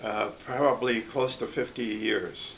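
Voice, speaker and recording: An older man from Michigan recalling his past in a relaxed interview, speaking haltingly with frequent restarts and small chuckles. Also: on the low side, a little hiss or hum, poor audio. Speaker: oral history interviewee. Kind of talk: casual recollection.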